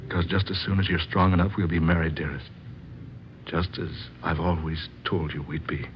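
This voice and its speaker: An actor playing an outwardly devoted, scheming husband in a melodramatic old-time radio drama style, on a worn old recording. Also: male voice, sits low, level tone, normal speed, highs cut off, a little hiss or hum, natural voice. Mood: tender. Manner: earnest, melodramatic.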